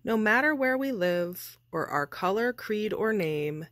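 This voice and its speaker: A woman reading a children's picture book aloud with deliberate, expressive, measured phrasing. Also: mid-range voice, dry recording, studio quality. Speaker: storybook reader.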